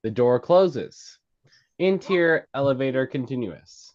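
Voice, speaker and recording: Slow, animated man reading stage directions, dull sound. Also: mid-range voice. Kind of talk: scripted narration, present-tense screenplay directions.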